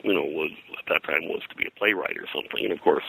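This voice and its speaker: An older man talking in a rambling interview style, halting and digressive as a guest historian. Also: rumbling low, vocal fry, bright, forceful tone, husky, no echo, poor audio. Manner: halting, digressive, frequent "you know". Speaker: guest, pop culture historian.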